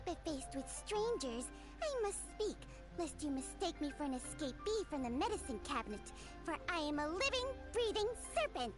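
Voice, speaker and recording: A woman's voice: high-pitched, sing-song, normal speed, hardly any pauses, music in the background. A woman voicing a haughty, eloquent talking snake in a video game, formal and scornful. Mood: disdainful.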